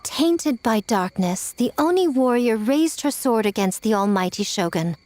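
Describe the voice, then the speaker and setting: A woman playing a poised teller of grim legends in a fantasy game, with deliberate, dramatic delivery. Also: a little high, expressive, darkish tone. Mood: dramatic, grave. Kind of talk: scripted fantasy game dialogue. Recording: music behind the voice.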